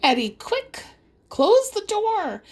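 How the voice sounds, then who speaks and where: A woman's voice: very high-pitched, very animated, slow pace, a little hiss or hum. A woman reading a rhyming children's story aloud as an audiobook, in a dramatic, singsong storytelling manner. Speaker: audiobook reader.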